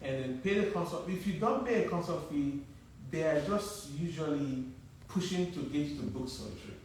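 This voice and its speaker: A man's voice: a little high, lively intonation, moderate pace, a little mellow, a little hiss or hum. Nigerian-accented male surgeon, middle-aged, emphatic explainer, echoey distant recording. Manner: emphatic, repeats words while thinking. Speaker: plastic surgeon. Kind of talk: interview on a live stream. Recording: echoey room, mic at a distance.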